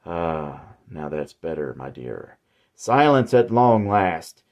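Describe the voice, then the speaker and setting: A man's voice: deep voice, very animated, slow, darkish tone, highs cut off, pitched below the performer's own voice, heavily acted. A man doing a gloating old cannibal killer. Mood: cold. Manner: sly, gloating and menacing. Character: old male cannibal killer, mocking and cruel.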